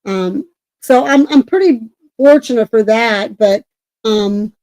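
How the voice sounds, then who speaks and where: A middle-aged woman co-hosting a paranormal talk show, chatting casually and loudly with a projecting voice, rambling with many restarts and self-corrections. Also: on the high side, takes it slowly, no room sound, rough recording. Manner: self-described talker, loud and projecting. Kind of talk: casual livestream chat.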